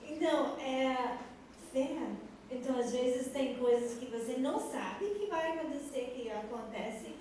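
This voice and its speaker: Slow, mellow woman in an echoey church. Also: sits high. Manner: simple, halting Portuguese, laughs often. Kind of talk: live Q&A at a youth church service. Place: large church hall. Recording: echoey hall, distant mic, faint noise.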